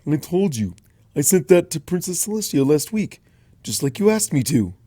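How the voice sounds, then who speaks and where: A man's voice: on the high side, sing-song, moderate pace, mellow, no room sound, voice pushed up. A man voicing a laid-back, flippant young male baby dragon who drawls and teases. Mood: drawling.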